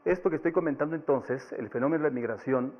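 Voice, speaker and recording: Measured Tzeltal-native man lecturing. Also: on the high side, highs cut off. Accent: Mexican Spanish. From Chiapas, Mexico. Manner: measured, explanatory, with occasional fillers. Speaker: panelist speaker. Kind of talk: academic panel presentation.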